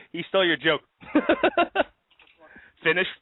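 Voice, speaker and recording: A man's voice: high voice, wildly swooping pitch, very slow, slightly rough, dry recording. A man hosting a live-streamed comedy talk show outdoors, joking and laughing as he rambles through the news. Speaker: host. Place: outdoors in a backyard. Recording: tinny phone-like dry sound.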